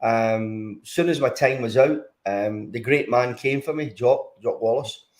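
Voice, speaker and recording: An older man with a broad Glaswegian accent answers questions over a video call. He speaks at an ordinary pitch, stopping and restarting often, with plenty of ums along the way. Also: a little slow, darkish tone. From Scotland. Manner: hesitant, many fillers and restarts. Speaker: guest, former footballer. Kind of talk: casual interview.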